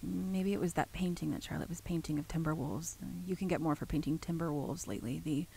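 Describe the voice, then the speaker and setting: An actress playing an uneasy, brooding woman who haltingly records her account in a horror drama, with a husky, gravelly voice. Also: low voice, warm tone, slight background noise. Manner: halting, brooding, breaking into whispers.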